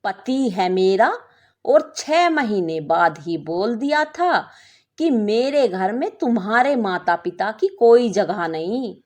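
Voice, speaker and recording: A woman's voice: medium pitch, very animated, slow pace, a little mellow. A woman voicing a manipulative, worldly young married woman in a story, knowing and condescending. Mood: smug, hard.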